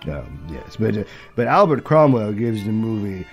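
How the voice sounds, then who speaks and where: A man playing a doddering, opinionated old British film critic who rambles haltingly and mutters forgetful asides in a comic review monologue. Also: lowish voice, very animated, faint music behind. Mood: dismissive, musing.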